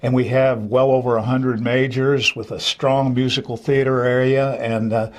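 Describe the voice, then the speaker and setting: A man's voice: mid-range voice, little pitch movement, moderate pace. An older man speaking off the cuff as an interview guest, a theater director who reminisces with enthusiasm. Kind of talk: unscripted interview conversation.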